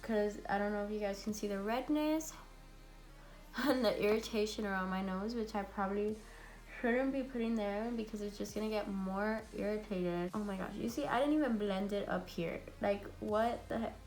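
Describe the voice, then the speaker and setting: A young woman with a raspy, congested voice from a cold, chatting bubbly through a makeup tutorial at home. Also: medium pitch, moderate pace, a little mellow, low-quality recording. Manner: chatty and bubbly, many fillers. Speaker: beauty vlogger. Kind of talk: casual makeup tutorial chat.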